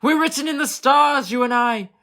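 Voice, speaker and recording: A woman's voice: high-pitched, normal speed, a bit bright. A woman playing a pompous, melodramatic married man in an amateur comedy murder mystery, with florid theatrical delivery. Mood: lovestruck, grandiose.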